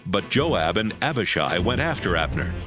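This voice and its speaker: A man narrating a Bible audio drama from a script in a measured storytelling manner. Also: lowish voice, big pitch swings. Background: music.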